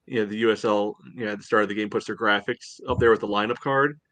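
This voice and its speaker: A man co-hosting a sports podcast, chatting casually in a halting way with lots of filler phrases. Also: fairly low pitch, steady tone, fairly bright tone, dry recording. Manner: halting, peppered with "you know".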